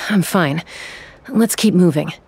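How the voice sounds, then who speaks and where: A woman's voice: lowish voice, slow pace, a little mellow, a little hiss or hum. A woman playing a determined, protective young gunslinger in a video game, speaking in an earnest, resolute way.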